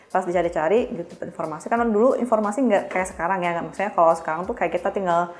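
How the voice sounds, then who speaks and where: A woman's voice: lowish voice, fast talker, darkish tone. A young woman, chatting candidly and self-deprecatingly as she tells her own story as a podcast guest. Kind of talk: casual personal storytelling.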